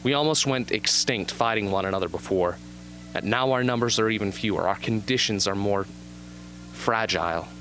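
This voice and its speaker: A man playing a young, haunted but earnest arena survivor with intense, deliberate delivery in an amateur radio drama. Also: fairly low pitch, fairly bright tone, soft background music, close to an everyday voice, rough recording. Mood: urgent, pleading. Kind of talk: amateur radio drama acting, interview scene.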